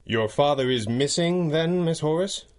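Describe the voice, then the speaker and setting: A man's voice: fairly high pitch, expressive, moderate pace, a little mellow, lightly acted. A man playing a composed, gallant masked crimefighting leader in a 1930s-style radio drama, reassuring and dryly wry. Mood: calm, reassuring. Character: calm, gallant masked male vigilante leader. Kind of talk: pulp radio drama acting in a 1930s manner.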